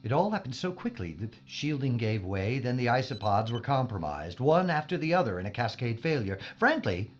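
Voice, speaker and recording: Guy playing a snooty defensive space scientist, husky, pitch all over the place, muffled audio. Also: sing-song, moderate pace, few pauses, a little hiss or hum, natural voice. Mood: evasive. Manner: pompous, formal, defensive, stammering when pressed. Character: stiff, evasive, pompous male spaceship scientist under suspicion. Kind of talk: science fiction audio drama acting.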